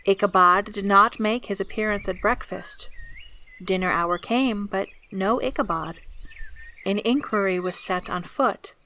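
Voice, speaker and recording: A woman's voice: mid-range voice, slight background noise. A woman narrating a scripted audio drama in a theatrical, storytelling manner. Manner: theatrical, swelling from hushed to loud.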